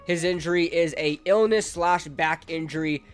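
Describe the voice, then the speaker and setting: A young man with a fairly high voice talks through a football preview in a chatty, fan-like way, dotted with fillers. He sounds stuffy and nasal from a cold. Faint music plays underneath. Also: normal speed, smooth. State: congested from a cold. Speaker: sports channel host. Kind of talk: casual football preview talk to camera.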